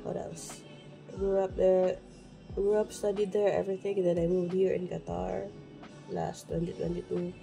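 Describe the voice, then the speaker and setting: A woman's voice: on the low side, level tone, gravelly, dark tone. A young woman from the Philippines, chatting self-consciously through a makeup routine to a phone camera in her bedroom. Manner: slow, self-conscious and rambling, with many restarts. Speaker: beauty vlogger. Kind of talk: casual get-ready-with-me makeup talk. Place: bedroom at home, indoors. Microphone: phone camera at arm's length. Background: background music.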